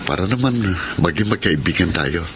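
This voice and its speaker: An actor playing a kind, earnest elder brother in a Filipino radio drama, speaking politely with a Filipino accent. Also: male voice, low voice, animated, moderate pace, noisy background. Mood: shy, admiring.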